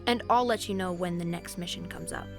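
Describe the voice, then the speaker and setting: A woman playing an authoritative, teasing agency superior in an audio drama, speaking in a composed and deliberate way. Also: lowish voice, vocal fry, music in the background. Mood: brisk, wry. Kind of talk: scripted audio drama acting.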